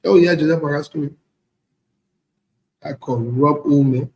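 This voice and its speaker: A man's voice: on the high side, a little slow, darkish tone. A man from the Ivory Coast with a West African accent, delivering a blunt, indignant, unscripted political rant and repeating phrases for emphasis. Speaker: online political commentator. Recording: echoey room.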